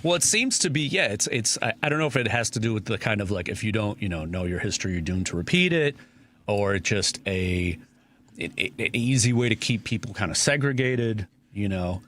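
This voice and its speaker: Low-voiced man hosting talk radio. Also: expressive, brisk, fairly bright tone, slight background noise. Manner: conversational, many fillers and restarts. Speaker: radio show host. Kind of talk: casual talk radio discussion.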